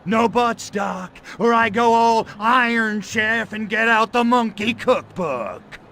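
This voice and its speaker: A man's voice: sits high, takes it slowly, vocal fry, pushed and bright, slight background noise, full character voice. An actor playing a cocky, wisecracking alien invader in a video game, sarcastic and swaggering in his slangy delivery. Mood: impatient. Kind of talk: video game cutscene voice acting.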